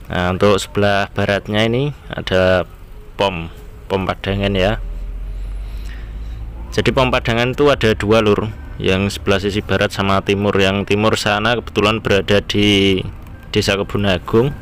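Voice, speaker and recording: A man's voice: on the low side, a little slow, long pauses. A man with an East Javanese accent giving casual, conversational driving commentary to his viewers as a car vlogger. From Bojonegoro area, East Java, Indonesia. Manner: conversational, often addressing viewers as friends. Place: inside a moving car on town roads. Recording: steady vehicle and road noise. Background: car and traffic noise.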